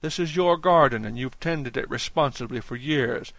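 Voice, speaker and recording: A man's voice: medium pitch, moderate pace, gravelly, fairly bright tone, no room sound. A man voicing a fierce, magnetic male organizer who exhorts and demands like a preacher in an audiobook. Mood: exhorting. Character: rabble-rousing male orator, fierce, magnetic, drawling organizer. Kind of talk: audiobook character acting.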